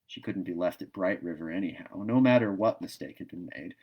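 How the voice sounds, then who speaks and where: A man's voice: deep voice, a little fast, breathy edge, highs cut off. A man narrating a classic novel as a storyteller reading aloud.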